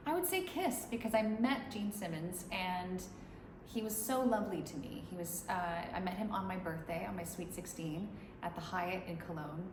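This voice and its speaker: A woman's voice: on the low side, lively intonation, moderate pace. A woman in a casual chat, giving long rambling answers with many restarts. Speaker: musician interviewee. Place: room in Dubai. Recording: echoey room with background noise.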